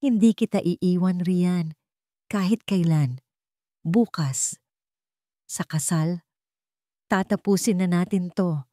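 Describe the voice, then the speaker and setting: A woman's voice: fairly low pitch, takes it slowly, pauses often, dark tone, no room sound. Audiobook lover, a male role read by a woman: young, devoted, fearful, intense, dry recording. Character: devoted, frightened young man, the heroine's lover.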